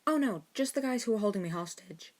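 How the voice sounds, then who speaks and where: A woman's voice: mid-range voice, lively intonation, quick pace, a little mellow, voice pushed up, close to an everyday voice. A woman voicing a stubborn, sarcastic schoolboy wizard with dry retorts in an audiobook-style story. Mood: defensive, casual.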